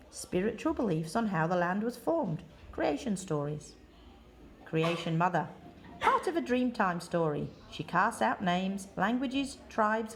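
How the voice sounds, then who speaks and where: A woman reading a children's picture book aloud in deliberate, measured phrases with expressive emphasis. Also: mid-range voice, vocal fry, a little hiss or hum. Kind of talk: read-aloud children's story.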